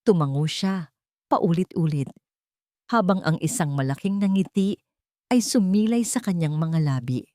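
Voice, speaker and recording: A woman narrating a scripted romance story in a sentimental, deliberate way. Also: fairly low pitch, lively intonation, warm tone, dry recording, studio quality.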